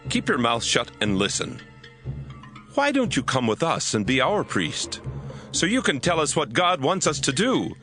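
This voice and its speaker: A man's voice: a little high, sing-song, a little slow, bright, forceful tone, soft background music, full character voice. A man voicing a bold Danite warrior who talks urgently and persuasively. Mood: coaxing.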